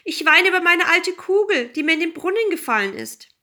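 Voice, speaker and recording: Woman as a high-voiced, fretful young princess. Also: sing-song, fairly quick, bright, forceful tone, heavily acted. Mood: tearful complaint. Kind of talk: fairy tale storytelling.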